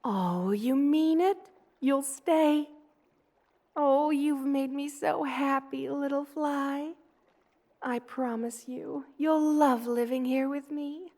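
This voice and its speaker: 여성이 연기하는 높은 목소리로 느릿느릿 말하는 다정한 거미 여왕. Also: female voice, sits high, darkish tone. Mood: delighted, tender. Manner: tender, soothing, cooing, unhurried. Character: gentle motherly spider queen, doting monster woman. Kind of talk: ASMR roleplay, personal attention.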